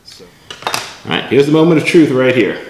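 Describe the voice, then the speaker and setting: A man's voice: mid-range voice, lively intonation, moderate pace, echoey room, a little hiss or hum. A middle-aged man hosting a casual kitchen vlog, chatty and often tagging his lines with "right?" while he shows food to the camera. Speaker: vlog host. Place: home kitchen, indoors.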